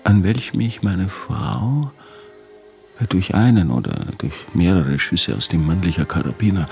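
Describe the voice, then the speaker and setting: A weary, obsessive husband in a radio drama monologue. His voice sits very low and moves slowly, in deliberate broken phrases of desperation, with faint music beneath. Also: lively intonation, vocal fry, a little mellow, heavily acted. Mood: bleak, confessional. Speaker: actor narrating as lead character.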